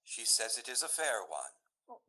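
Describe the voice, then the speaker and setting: A man plays a smooth-talking, sly gentleman in a radio comedy. The verse is delivered with dry teasing and extremely lively rises and falls. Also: medium pitch, unhurried, exaggerated voice, rough recording. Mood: dry, flattering.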